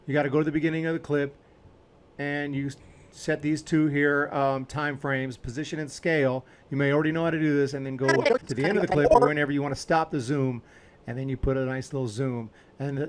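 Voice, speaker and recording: A man's voice: on the high side, smooth. A man hosting a video editing tutorial, talking casually and informally as he explains each step while clicking along.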